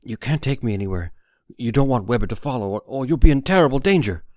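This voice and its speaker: A man voicing a frightened, hunted man in an audiobook story, agitated, halting and breathless. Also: medium pitch, lively intonation, darkish tone, no room sound, studio quality, pitched above the performer's own voice, natural voice. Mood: panicked.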